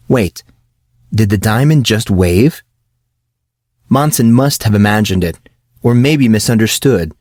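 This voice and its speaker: A man's voice: on the low side, darkish tone, no echo, studio quality. A man reading a scripted fantasy audiobook as narrator.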